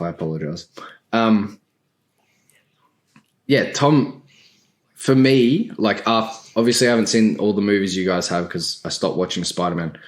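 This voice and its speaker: A man in a casual group chat, talking in a blunt, rambling, slangy way. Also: on the low side. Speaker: podcast panelist.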